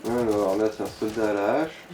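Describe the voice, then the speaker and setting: A man's voice: on the low side, little pitch movement, normal speed, darkish tone. A man giving casual, crude-humoured gaming commentary as he guides a player. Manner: crude joking, swearing. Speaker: let's play commentator guiding the player. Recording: echoey room with faint background noise.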